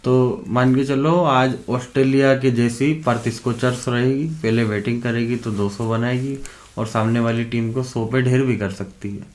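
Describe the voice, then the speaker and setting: A man giving fantasy cricket tips in a loose, chatty vlog, his voice roughened by a sore throat and an occasional cough. He talks right at his viewers like friends, calling them brother and looping back with "okay" between points. His pitch sits at an ordinary middle level for a man. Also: fairly even intonation, normal speed, a little mellow. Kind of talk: casual direct-to-camera vlog.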